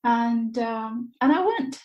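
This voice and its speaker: A woman's voice: a little high, dark tone, muffled recording. Woman being interviewed, roomy echo, candid and reflective, keeps restarting phrases while she finds the words. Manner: halting, repeats words and phrases as she searches for them. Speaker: interview guest, author. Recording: roomy, echoey sound.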